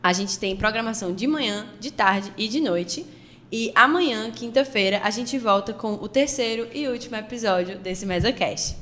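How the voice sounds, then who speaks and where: A woman's voice: medium pitch, normal speed, fairly bright tone. A woman co-hosting a panel podcast, putting questions to guests and addressing listeners. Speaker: podcast co-host. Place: makeshift studio at a university campus event. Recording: long reverb, faint ambient noise.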